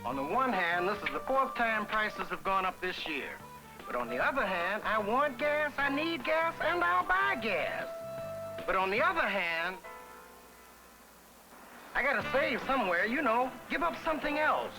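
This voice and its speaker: An actor playing an excitable, dithering customer in a comic 1970s educational film, arguing emphatically with himself. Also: male voice, very high-pitched, moderate pace, heavily acted, poor audio. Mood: agitated. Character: excitable, indecisive customer agonizing over prices. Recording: 1970s film soundtrack with music.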